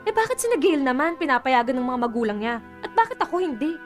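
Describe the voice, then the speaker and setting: A resentful eighteen-year-old little sister in a radio drama. Her voice is very high and plaintive, forever complaining like someone who feels held back. Music plays underneath. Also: very animated, fast. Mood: frustrated, whining.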